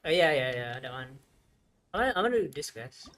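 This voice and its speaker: Game streamer, casual commentary, rambling, thinking out loud, soft background music. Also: medium pitch, sing-song, fairly quick.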